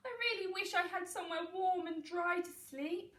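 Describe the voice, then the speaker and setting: A woman's voice: extremely high pitch, fairly even intonation, moderate pace, fairly bright tone. A woman voicing a sad fox in a storybook, in a mournful tone. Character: sad fox with a wet sleeping bag. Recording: echoey room, far from mic.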